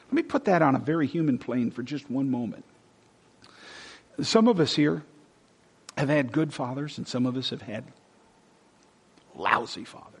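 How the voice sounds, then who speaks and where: A male pastor preaching a sermon, reading scripture loudly and dropping to soft, hushed whispers. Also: medium pitch, vocal fry, slightly rough. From Texas.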